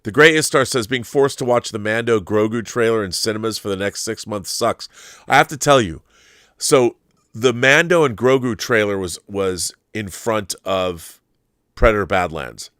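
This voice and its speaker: A man's voice: fairly low pitch, normal speed, a bit bright, no room sound, studio quality. A middle-aged American man talking casually on a livestream, rambling conversationally and often restarting his sentences as he goes. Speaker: host of a live YouTube show. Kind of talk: casual livestream monologue answering viewer comments.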